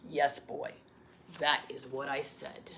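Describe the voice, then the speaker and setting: Woman acting as an old storybook grandfather, lowered voice, unhurried, solemn, loving. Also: little pitch movement, fairly bright tone, slight background noise, heavily acted. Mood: tender. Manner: slow, solemn, tender. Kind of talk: storybook read-aloud.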